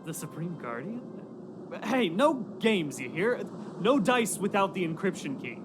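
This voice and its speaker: Stubborn, higher-voiced soldier guard. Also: male voice, very animated, a little slow, over music. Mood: firm refusal. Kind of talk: video game voice acting.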